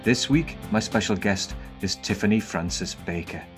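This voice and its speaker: A man's voice: lowish voice, moderate pace, over music. Man, northern english accent, podcast host, jokey friendly questions. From northern England. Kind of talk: casual chat.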